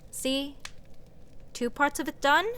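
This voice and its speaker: A woman's voice: high voice, steady tone, fairly bright tone, slight background noise. A woman playing a snarky, exasperated sorceress adventurer in a fantasy comedy audio roleplay, sarcastic and nitpicky, stressing and stretching her words. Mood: sardonic, musing. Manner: sarcastic, scoffing, emphatic with drawn-out words.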